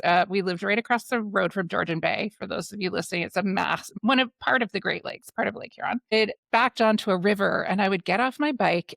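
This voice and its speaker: A woman in her forties from Canada, hosting a podcast with enthusiastic, animated storytelling. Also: medium pitch, gravelly, no room sound, clean studio sound. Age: 40s. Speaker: podcast host. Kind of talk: conversational interview.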